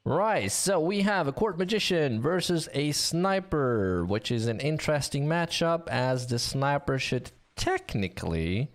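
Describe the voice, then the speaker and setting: A man's voice: on the high side, sing-song, a little slow, hardly any pauses. A man hosting a live game stream with a sore throat, chatting in an upbeat way with many fillers.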